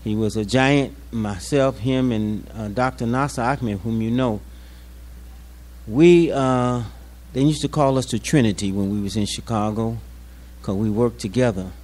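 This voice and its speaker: A man's voice: medium pitch, fairly even intonation, unhurried, darkish tone. An older man with an American accent speaks unscripted at a commemorative event, telling personal stories in a plainspoken, folksy way like an imam addressing his community. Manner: plainspoken, folksy storytelling with acted-out quotes. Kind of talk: unscripted public speech with personal anecdotes.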